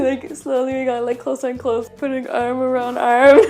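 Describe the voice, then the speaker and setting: A woman's voice: high-pitched, a little slow. A young woman from Canada, talking casually and animatedly in an interview, often laughing as she tells stories. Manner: giggly, animated storytelling. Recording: faint music behind.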